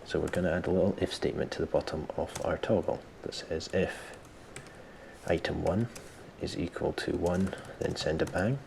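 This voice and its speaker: A man presenting a software tutorial step by step, informally thinking aloud as he explains. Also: low voice, normal speed, gravelly, background noise. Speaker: tutorial host.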